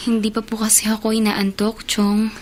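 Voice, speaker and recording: A woman's voice: medium pitch, monotone, normal speed, slight background noise, natural voice. A woman acting a meek, respectful young niece in a Filipino radio drama, speaking politely and earnestly. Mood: ashamed, earnest. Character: dutiful, respectful young working student niece. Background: faint background music.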